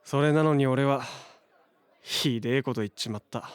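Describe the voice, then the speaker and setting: A man playing a hot-headed, flustered young hero with a soft heart in a Japanese superhero audio drama, brash and slangy in delivery. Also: mid-range voice, moderate pace, clean tone, dry recording, a faint crowd, lightly acted. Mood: regretful, tender. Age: young adult.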